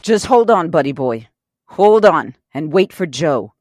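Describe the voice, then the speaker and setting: A woman's voice: low voice, sing-song, measured pace, a little mellow, soft background music. A woman playing a tough but protective career robber in an audio drama, speaking bluntly and with profanity in a steadying way. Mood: soothing.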